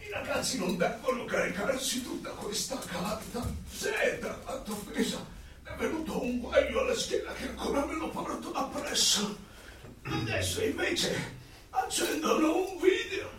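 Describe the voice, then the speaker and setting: High-pitched rambling old grandfather. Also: a bit bright, heavily acted. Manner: chatty, rambling, confused. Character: rambling, grumbling old grandfather reminiscing about the past. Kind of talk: radio drama acting. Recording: echoey, distant, faint noise.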